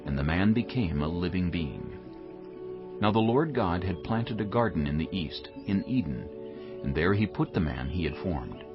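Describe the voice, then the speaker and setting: A male narrator reads scripture as solemn storytelling. His voice is low and moves expressively through each phrase. Music sits clearly behind him. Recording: dull muffled audio under music.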